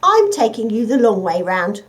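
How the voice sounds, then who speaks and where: A woman voicing a big, cheeky, carefree tabby cat in a children's story, loud and brisk. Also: on the high side, big pitch swings. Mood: breezy, cheeky.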